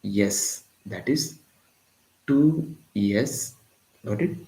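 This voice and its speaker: Indian tutor, low voice, echoey room. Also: male voice, lively intonation, drawn-out words, dark tone. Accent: Indian English. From Tamil Nadu, India. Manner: repetitive, keeps checking in with "got it?". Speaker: physics tutor. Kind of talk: live-streamed exam-prep lesson.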